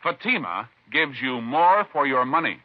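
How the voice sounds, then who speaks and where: Lively male old-time radio announcer. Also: medium pitch, measured pace. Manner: formal, emphatic, declarative. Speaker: radio show and commercial announcer. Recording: muffled vintage radio broadcast.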